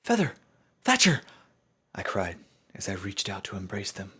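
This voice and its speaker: A man giving a measured, solemn dramatic narration of a fantasy story, as in an audiobook. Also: deep voice, completely flat delivery, pushed and bright, husky.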